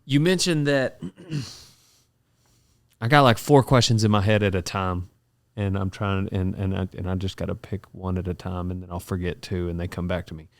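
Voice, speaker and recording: A man's voice: deep voice, fairly even intonation, moderate pace, no echo. A man hosting a podcast in a chatty way, asking long, rambling questions. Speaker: podcast host. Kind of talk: casual interview chat.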